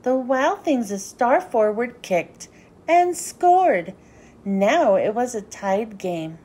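A woman narrates a children's storybook in a high voice that swoops up and down. Her delivery is animated and deliberate, with a soft, mellow tone and an unhurried pace suited to young listeners. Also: husky. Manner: animated, sing-song, deliberate phrasing. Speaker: children's book read-aloud host.

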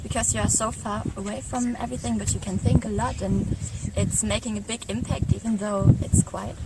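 A woman's voice: mid-range voice, fairly even intonation, brisk. A woman from Germany with a German accent, talking thoughtfully in English as a pilgrim on a trail. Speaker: pilgrim interviewee. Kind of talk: casual chat. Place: outdoor hillside trail. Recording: distant camera mic, loud wind.

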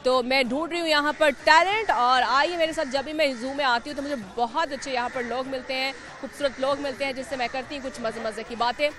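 A young woman hosting a TV street quiz in a zoo park, talking into a handheld mic with a lively, coaxing manner. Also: sits high, fairly quick, hardly any pauses. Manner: lively, laughs often, coaxing. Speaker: TV street-interview host. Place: outdoors in a city zoo park. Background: light music and crowd chatter.

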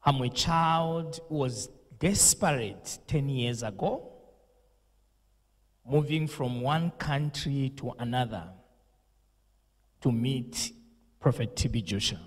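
A young pastor speaks slowly and solemnly into a handheld mic, with the emphasis of a preacher. His voice is husky, and a long reverb trails behind it. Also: mid-range voice, animated. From Zambia. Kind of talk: condolence message and prayer. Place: indoor studio set with purple lighting.

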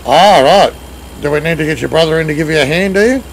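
A middle-aged man with a strong Australian accent chats through a headset while streaming, teasing his friends and thanking viewers by name. His voice sits a bit high, with a faint background hum. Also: fast talker, rough recording. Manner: banters, thanks chat viewers, swears playfully. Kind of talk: casual gaming chat. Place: home gaming room, indoors.

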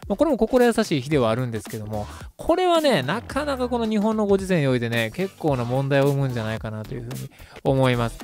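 Fast-talking male radio host. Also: mid-range voice, sing-song, a little mellow. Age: around 30. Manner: polite, hedging, chatty. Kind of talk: casual radio commentary, summing up. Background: background music.

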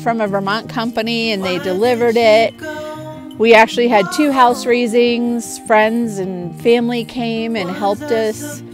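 Chatty middle-aged woman with music behind. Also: medium pitch. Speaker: homestead vlogger. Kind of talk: casual chat to viewers. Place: outdoors in the woods.

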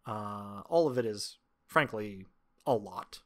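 Man narrating a tabletop game, halting delivery. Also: on the low side, expressive, fairly bright tone. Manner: halting, measured descriptive phrasing. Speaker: game master.

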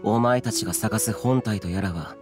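A man's voice: on the low side, normal speed, natural voice. Stern, aloof immortal guardian played by a man. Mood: solemn explaining. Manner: archaic, grave, clipped pronouncements. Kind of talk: video game voice acting. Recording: background music under the voice.

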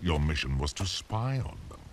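Demon overlord, video game villain, gravelly, cold contempt, theatrical, widely swooping delivery, faint hiss. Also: male voice, big pitch swings. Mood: cold menace. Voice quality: gravelly, very deep. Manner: slow, grandiose, theatrical pronouncements. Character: towering demon emperor, cruel, menacing, coldly contemptuous.

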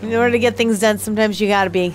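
A woman's voice: medium pitch, steady tone, racing. A woman in her thirties chatting casually as a reaction host, on a headset in a small studio. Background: game audio with music.

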